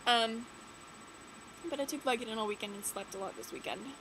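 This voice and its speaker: A woman's voice: on the high side, fairly quick. A teenage girl, chatting casually in a vlog, rambling with lots of "like" and "um", her nose a bit stuffy from a cold. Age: late teens. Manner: rambling, many "like" and "um" fillers. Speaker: vlogger. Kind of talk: casual chat to camera. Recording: audible background noise.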